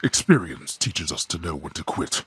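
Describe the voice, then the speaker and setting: An actor playing a grim, imperious god of the dead and stern father in a video game, with a husky, gravelly voice and formal, archaic, menacing delivery. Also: extremely low pitch, big pitch swings, normal speed, no echo, full character voice. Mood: cold disdain. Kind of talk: theatrical video game villain acting.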